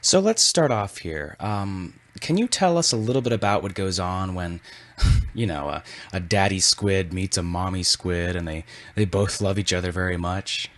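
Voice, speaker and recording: A man's voice: sits low, normal speed, a bit bright. A man hosting a scripted comedy podcast with a wry, mock-serious delivery. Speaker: podcast host.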